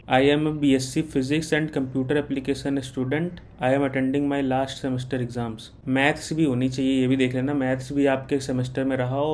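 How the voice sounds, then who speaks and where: A man's voice: mid-range voice, darkish tone. A man with an Indian accent hosting an informal exam-guidance Q&A, reading out questions and answering them in a reassuring, matter-of-fact way. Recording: clear background noise.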